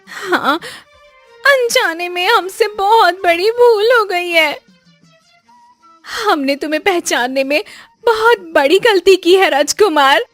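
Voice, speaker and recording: A woman's voice: very high voice, a bit bright, heavily acted. A woman voicing a distressed, deferential village woman who pleads in a tearful whine for a cartoon moral story. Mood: shocked, remorseful. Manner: imploring, drawn-out, deferential.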